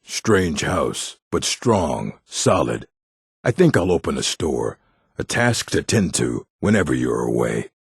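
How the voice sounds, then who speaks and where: A man voicing a gruff, proud orc warrior in a fantasy video game, with a gravelly, husky voice and a terse, blunt delivery. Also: very deep voice, big pitch swings, slow, dry recording, clean studio sound, full character voice. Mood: solemn, matter-of-fact.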